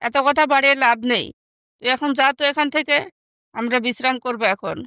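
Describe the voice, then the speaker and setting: A woman voicing a bossy, scheming young sister in an animated moral story, with a scornful, scolding manner. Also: high-pitched, brisk, clean tone, no echo, studio quality.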